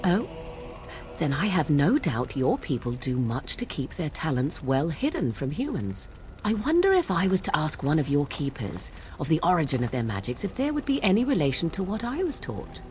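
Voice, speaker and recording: A woman's voice: deep voice, huge pitch swings, normal speed, a little mellow, faint music behind. An actress playing a haughty, sardonic young witch of the wilds in a fantasy game, with arch, archaic, formal diction. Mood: aloof, explaining. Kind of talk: fantasy video game dialogue acting.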